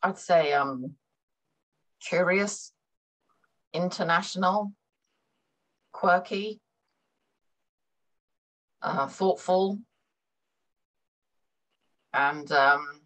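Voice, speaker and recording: A woman's voice: lowish voice, extremely slow, pauses often, fairly bright tone, rough recording. A middle-aged woman who grew up in Hong Kong, a business consultant talking thoughtfully as an interview guest, with many fillers and repeated words. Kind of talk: conversational interview.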